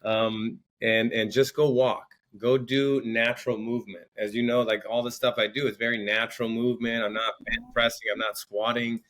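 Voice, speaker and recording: A man's voice: mid-range voice, little pitch movement, moderate pace, few pauses, fairly bright tone. A man speaking as a podcast guest, explaining things conversationally and often repeating words as he goes. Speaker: podcast guest, entrepreneur and coach.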